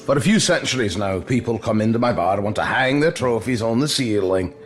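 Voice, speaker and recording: An actor playing a genial, chatty old immortal tavern keeper in an audio drama, with a jovial, teasing, folksy manner. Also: male voice, mid-range voice, animated, fairly quick, natural voice. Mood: hearty, welcoming. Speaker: barkeep. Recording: music under the dialogue.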